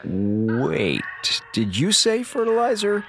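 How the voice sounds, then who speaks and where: An actor playing a wry, laid-back communications officer recording a chatty, sarcastic audio log in a space drama. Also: male voice, fairly high pitch, huge pitch swings, slow pace, creaky, fairly bright tone, slight background noise, full character voice. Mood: uneasy.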